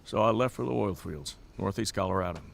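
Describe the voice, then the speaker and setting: Gravelly, weary rancher. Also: male voice, lowish voice, a little fast, a little hiss or hum. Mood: plain, resigned. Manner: folksy, stoic, matter-of-fact storyteller. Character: weary, plainspoken Montana rancher hit by drought. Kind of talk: naturalistic audio drama dialogue.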